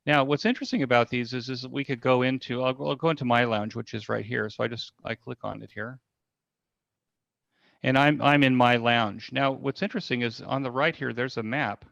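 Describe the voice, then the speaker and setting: A man casually explaining and demonstrating something as an interview guest on a video call, in a halting way with repeated phrases. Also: medium pitch, vocal fry, fairly bright tone, no echo. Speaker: event organizer guest demonstrating a platform. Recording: slightly dull, band-limited audio.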